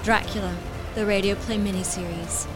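A woman's voice: medium pitch, steady tone, moderate pace, loud music behind the voice. A woman reading a scripted credits announcement for a radio drama.

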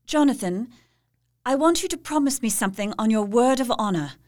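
Woman voicing a haunted young wife, intense, measured, fairly high, audio drama. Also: expressive, darkish tone, lightly acted. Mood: pleading.

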